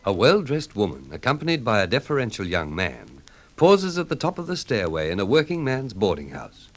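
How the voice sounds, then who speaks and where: A man's voice: on the low side, wildly swooping pitch, brisk. A man narrating a golden-age radio drama as scripted storytelling.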